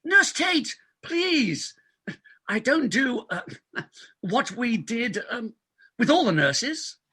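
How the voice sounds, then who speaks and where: A man performs a middle-aged hospital doctor in a comic play reading. His voice is husky and bright, with very animated swings. He blusters and stammers, pompous and evasive whenever he is flustered. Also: very high voice, slow pace, full character voice. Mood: defensive, awkward.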